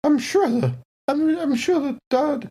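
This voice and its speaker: A woman's voice: sits high, a little slow, dark tone, pitched above the performer's own voice. A woman voicing a genteel, polite older kitchen worker in a tabletop game, with a prim, airy manner. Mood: prim, curious.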